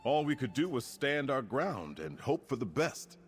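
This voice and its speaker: An actor playing a solemn, loyal warrior comrade in a fantasy game, with a husky voice and formal speech. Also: male voice, medium pitch, sing-song, moderate pace, faint music behind, lightly acted. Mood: earnest, grateful. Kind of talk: fantasy video game dialogue.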